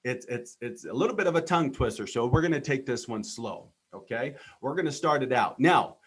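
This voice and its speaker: A man's voice: mid-range voice, expressive, a little fast, husky. Earnest man hosting a motivational podcast. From Texas, United States. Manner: earnest, lots of filler ums and repeated words. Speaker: podcast host. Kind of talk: motivational personal monologue.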